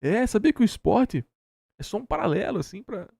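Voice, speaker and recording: A Brazilian man reacts to a video with emphatic, sarcastic commentary. His voice sits slightly high and crackles with fry. The sound is very dry, with almost no room. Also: big pitch swings, brisk, warm tone, dry recording. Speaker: reaction show co-host.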